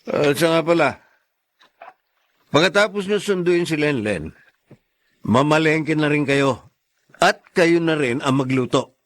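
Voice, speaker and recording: A man plays a domineering Filipino husband in a radio-style drama. His voice sits a bit high for a man. He talks curtly and grudgingly, as someone used to giving orders. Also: lively intonation, unhurried, long pauses. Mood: ordering. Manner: domineering, curt. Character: bossy, grudging adult husband lording over in-laws.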